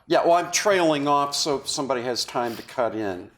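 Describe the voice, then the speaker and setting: Actor guy at rehearsal chatting about how to do his lines, normal pitch. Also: lively intonation, normal speed, fairly bright tone. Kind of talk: discussing script delivery.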